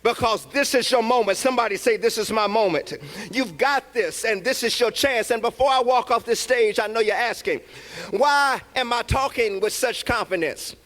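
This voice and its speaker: A man's voice: very high voice, normal speed, fairly bright tone. A man in his thirties giving a motivational address to graduates, hollering emphatically with great confidence. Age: 30s. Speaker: commencement speaker.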